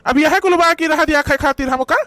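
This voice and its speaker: A man's voice: very high-pitched, brisk, sharp tone, no echo, clean studio sound. A man voicing a stern, cranky old village father-in-law in a comedy cartoon, scolding at length with an Awadhi accent. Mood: outraged. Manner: scolding, rambling, emphatic.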